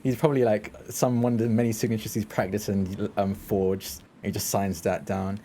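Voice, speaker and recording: A man plays a tabletop game, casually describing what his character does in halting phrases. His voice is lowish and mellow, and there is audible noise behind it. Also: level tone.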